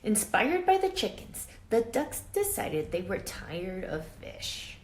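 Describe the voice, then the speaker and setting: A woman reading a children's picture book aloud expressively, with dramatic emphasis and hushed asides, pausing to question young listeners like a teacher. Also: medium pitch, very animated. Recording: roomy echo with faint background noise.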